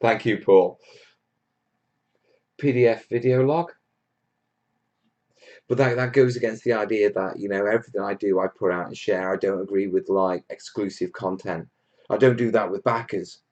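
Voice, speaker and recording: A man's voice: lowish voice, steady tone, normal speed, long pauses, darkish tone, dull audio. A man from Wales hosting a casual live Q&A stream, chatting to viewers in a blunt, jokey, matey way. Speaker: host of a hobby livestream.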